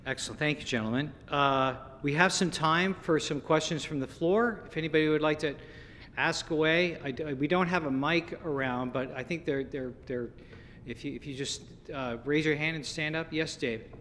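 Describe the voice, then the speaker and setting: A man's voice: moderate pace, a bit bright. A man moderating a panel from a podium, brief and upbeat. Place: podium in conference hall. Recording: reverberant conference hall, faint rumble.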